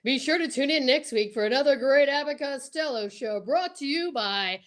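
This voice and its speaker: A woman's voice: sits high, lively intonation, moderate pace. A woman co-hosting an online show, chatting casually and reading old radio scripts in an exclamatory, joking manner. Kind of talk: casual chat and mock announcer script reading. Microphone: video call or livestream.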